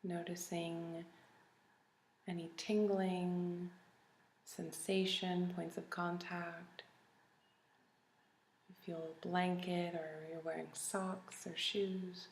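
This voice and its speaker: A woman leading a guided body scan meditation in a calm, gentle, soothing manner with long pauses. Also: fairly low pitch, a little hiss or hum. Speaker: meditation teacher. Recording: roomy, echoey recording.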